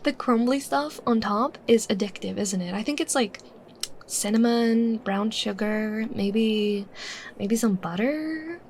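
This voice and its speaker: A woman playing a bubbly, teasing young woman chatting casually with her secret crush in a roleplay, expressive and playful. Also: on the high side, slow, slight background noise. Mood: cheerful, friendly. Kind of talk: roleplay ASMR, acted casual chat.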